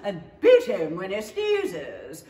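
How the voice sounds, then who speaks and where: Rude, brash Duchess, played by a woman, swooping high. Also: wildly swooping pitch, slow pace, creaky, warm tone, full character voice. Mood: gleefully cruel. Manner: brash, emphatic, theatrical. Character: rude, harsh, cackling Duchess. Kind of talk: 1930s radio play acting. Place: small indoor theatre stage, camera at a distance. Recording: echoey hall from far off, noisy.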